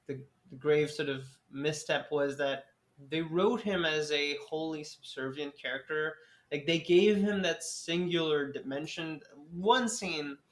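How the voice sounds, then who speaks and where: A man's voice: fairly high pitch, a bit bright. A man hosting a casual film podcast, talking with many false starts and restarts. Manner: hesitant, many restarts, laughs easily. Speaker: podcast host.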